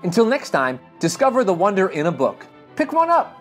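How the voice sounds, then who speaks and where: Animated man reading a children's storybook. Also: high voice, fairly quick, soft background music. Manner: animated, dramatic. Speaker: children's storybook reader and show host. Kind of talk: expressive picture-book read-aloud.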